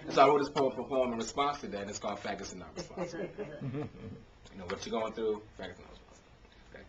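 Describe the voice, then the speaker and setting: A dull camcorder recording with faint noise carries an American man at a poetry reading. His voice sits at an ordinary male pitch. He speaks as a spoken word poet, with expressive, emphatic phrasing. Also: fast talker. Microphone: camcorder microphone. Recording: dull, noisy consumer camcorder sound.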